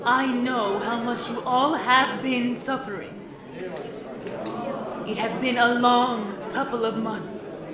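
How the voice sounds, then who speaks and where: A woman playing a solemn female pony leader in an audio drama, making a halting, grave address. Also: a little high, steady tone. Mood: grave, regretful. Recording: echoey hall with crowd.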